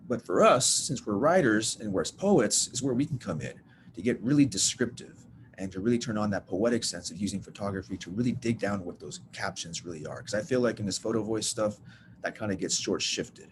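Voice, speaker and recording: A man's voice: lowish voice, noisy background. A man leading a workshop over a video call, talking in a loose, rambling, self-deprecating way and saying "y'all". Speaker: workshop leader. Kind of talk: casual teaching talk. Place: at home, typing on a bed.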